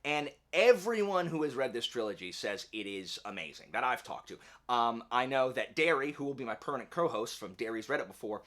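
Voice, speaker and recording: Bright-voiced enthusiastic man vlogging. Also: medium pitch, expressive, fairly quick, few pauses. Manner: enthusiastic, conversational, emphatic. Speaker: book channel host. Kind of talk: casual vlog announcing readalongs, reading book blurbs aloud.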